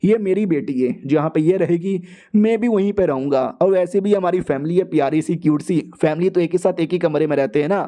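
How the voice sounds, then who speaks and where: A man voicing a lecherous, pushy gangster husband who talks slowly in a low, leering voice. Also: mellow, lightly acted. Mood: smug.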